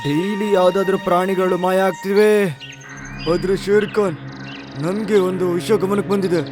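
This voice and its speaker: A man's voice: high voice, fairly even intonation, unhurried, over music. A man voicing a worried, earnest talking forest animal in a cartoon story.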